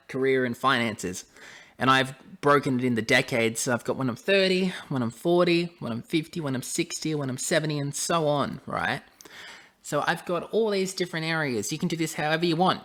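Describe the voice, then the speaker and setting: A man's voice: mid-range voice, fairly bright tone. A young man, giving a casual, conversational how-to talk and repeating phrases for emphasis. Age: 20s. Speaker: lifestyle vlogger. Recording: echoey room.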